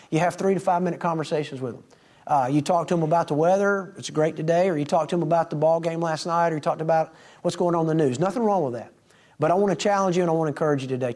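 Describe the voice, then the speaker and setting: A man's voice: on the high side, a little mellow. A middle-aged man, a preacher telling folksy stories to his congregation and acting out the dialogue. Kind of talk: storytelling sermon to a congregation.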